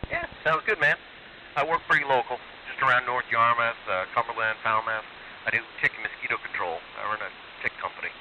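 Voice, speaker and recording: A man's voice: medium pitch, fairly quick, sharp tone. A man in a laid-back, colloquial casual chat, heard over a noisy CB radio transmission with hiss. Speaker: CB radio caller. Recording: hissy, noisy CB radio signal.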